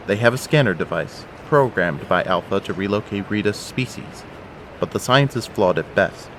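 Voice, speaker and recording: A man's voice: fairly low pitch, animated, normal speed, a bit bright. A man giving scripted narration for an audio drama, telling the story as its narrator. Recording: faint background noise.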